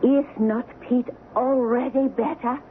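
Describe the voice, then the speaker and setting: A woman's voice: on the high side, fairly even intonation, measured pace, lightly acted. An actress playing a devoted young woman in love, in 1970s radio drama style. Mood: grave, secretive. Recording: tinny, noisy old radio broadcast.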